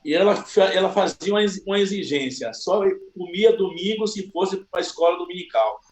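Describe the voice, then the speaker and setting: A man from Salvador, a pastor giving a slow, humble personal testimony. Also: high-pitched, lively intonation, reverberant. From Salvador, Bahia, Brazil.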